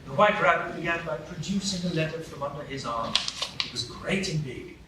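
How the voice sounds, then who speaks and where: A man narrating a storybook tale in a measured, storytelling way, as part of a live radio play. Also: fairly high pitch, expressive. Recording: echoey hall, distant mic, noisy.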